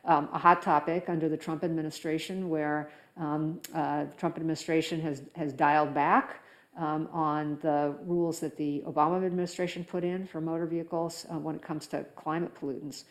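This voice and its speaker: A woman hosting a conversational interview, phrasing her thoughts in a halting, thoughtful way with frequent pauses. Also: low voice, flat delivery. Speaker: interview host.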